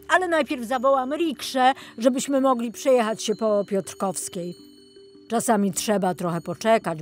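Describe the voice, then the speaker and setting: A woman voicing a jolly, absent-minded older male tour guide in a children's storybook, rambling and enthusiastic. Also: fairly high pitch. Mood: amused, cheerful.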